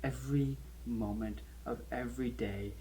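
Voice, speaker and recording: An anxious, bitter man played by an actor confesses his dread of dying in a horror audio drama. His mid-pitched voice is halting and intense, breaking phrases apart. A faint noise sits behind the recording. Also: fairly even intonation, dark tone, natural voice, poor audio. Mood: haunted, resigned.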